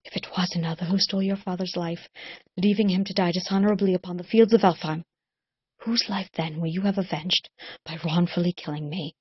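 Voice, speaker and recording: A woman voicing a proud, weary male elf king who speaks quietly and evenly. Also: on the low side, fairly even intonation, fairly quick, husky, no echo. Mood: quiet challenge. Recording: dull lo-fi recording.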